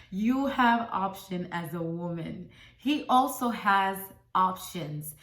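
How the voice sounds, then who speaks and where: A woman born in Congo and raised in America, giving motivational life advice in an emphatic, preachy way, recorded on a phone. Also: mid-range voice. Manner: emphatic, preachy, stacks rhetorical questions. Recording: echoey room.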